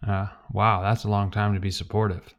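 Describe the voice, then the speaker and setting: A middle-aged man co-hosting a podcast, asking curious questions in relaxed chat. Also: on the low side, fairly quick. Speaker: podcast co-host. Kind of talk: casual interview chat.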